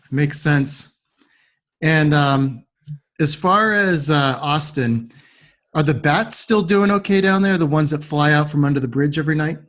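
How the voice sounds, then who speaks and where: Male podcast host, higher-pitched voice, friendly interview delivery. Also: takes it slowly, long pauses, a bit bright. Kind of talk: friendly interview and show introduction.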